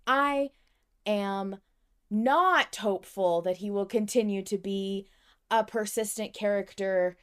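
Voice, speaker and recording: A woman co-hosting a fan discussion podcast, chatting casually in an emphatic, expressive, sweary way. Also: medium pitch, slow pace, smooth. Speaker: podcast co-host.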